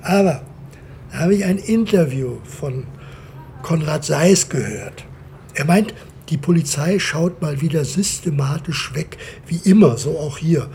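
An actor playing a very heavy, pedantic, unhurried forensic technician in a naturalistic radio drama, with a precise, deadpan delivery. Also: male voice, a little high, gravelly, slight background noise. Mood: indignant, dry.

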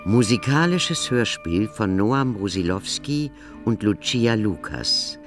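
Slow, low-voiced male title announcer. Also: sing-song, soft background music. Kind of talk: scripted radio title announcement.

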